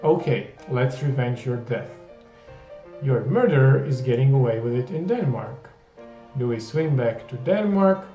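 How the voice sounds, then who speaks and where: A man's voice: medium pitch, lively intonation, unhurried, dark tone, roomy sound, highs cut off, faint music behind. A man reading a text adventure game aloud, adding wry, jokey asides in a playful way.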